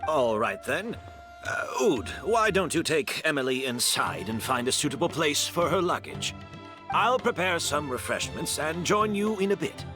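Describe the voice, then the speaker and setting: A man's voice: on the high side, sing-song, moderate pace, over music. A man playing a considerate, easily flustered hotel keeper and master perfumer, courteous and apologetic, in an anime game. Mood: hesitant, polite.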